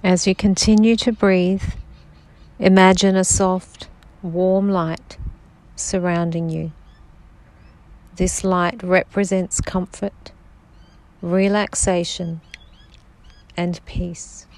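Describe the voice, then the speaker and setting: A woman guiding a sleep meditation in a slow, soft-spoken, soothing manner. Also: fairly low pitch, level tone. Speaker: meditation guide.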